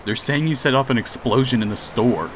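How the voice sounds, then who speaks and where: A man's voice: mid-range voice, a little fast, background noise, close to an everyday voice. A man playing a protective, remorseful young ex-boyfriend in a radio drama. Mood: concerned, urgent. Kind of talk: amateur radio drama dialogue.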